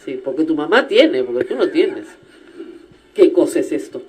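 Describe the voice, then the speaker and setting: A woman with a Peruvian accent hosting a radio interview, talking in an animated, emphatic and teasing way. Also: low-pitched, normal speed, breathy edge. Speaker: radio show host.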